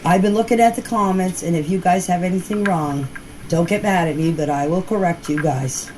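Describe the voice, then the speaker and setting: A woman's voice: lowish voice, fairly quick, darkish tone. Plain-spoken woman on noisy voice message. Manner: emphatic, plain-spoken, repeats herself for emphasis; colloquial, non-standard grammar. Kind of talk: informal voice messages answering questions in a group chat. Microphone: voice clips recorded for a group chat. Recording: noisy informal voice-message recording.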